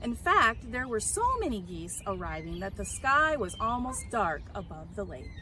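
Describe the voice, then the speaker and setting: A woman reading a children's storybook aloud in an expressive, emphatic way. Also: medium pitch, moderate pace. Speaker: story reader. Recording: clear background noise.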